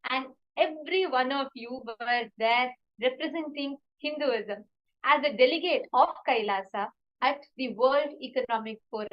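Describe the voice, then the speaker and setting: A woman's voice: high voice, moderate pace, muffled recording. A woman host moderating a panel and eagerly asking guests questions.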